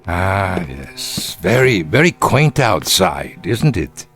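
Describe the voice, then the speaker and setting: A man's voice: low voice, big pitch swings, takes it slowly, fairly bright tone, soft background music, full character voice. An actor playing a smug, evasive aging German man who poses as an innocent tourist, genteel and hesitant, in radio drama style. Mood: coy, probing. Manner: affectedly genteel, hesitant, coy. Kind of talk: old-time radio drama acting.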